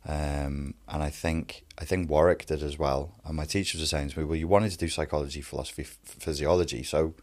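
A man's voice: a bass voice, fairly even intonation, fairly quick, few pauses, a little mellow. A man from the Wirral with a light Scouse accent, a guest telling his story in a wry, self-mocking way and laughing often. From Wirral, England. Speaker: guest, narcissism coach. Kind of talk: candid conversational storytelling.

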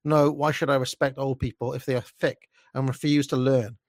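A man's voice: medium pitch, fairly even intonation, moderate pace, darkish tone, no echo, studio quality, lightly acted. A man voicing a snobbish, petulant young male narrator of a story, with pompous, contemptuous, precise delivery. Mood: scornful. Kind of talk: first-person dramatic story reading.

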